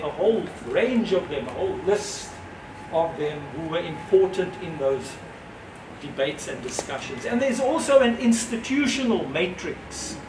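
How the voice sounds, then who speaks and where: Older man speaking slowly at a gallery. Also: sits high. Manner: reflective, measured, with pauses. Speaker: speaker at an exhibition opening. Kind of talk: unscripted public speech. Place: gallery entrance, indoors facing an audience. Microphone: far camera, no mic seen. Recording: roomy, distant, noisy ambience.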